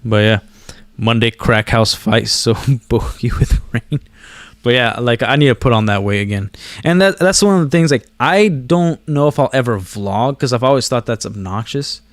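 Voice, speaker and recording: A man's voice: medium pitch, normal speed, no echo. A man who learned English after other languages, chatting casually to viewers in a slangy, meandering, self-deprecating way. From born abroad, moved to the US as a child. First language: a language other than English. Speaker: vlogger talking to viewers. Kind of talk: casual rambling chat to camera.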